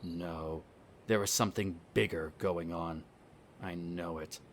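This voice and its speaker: A man's voice: deep voice, steady tone, slow, a little hiss or hum. A man playing a smug, disdainful vampire noble in a scripted roleplay audio drama, speaking formally with sardonic condescension. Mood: resentful.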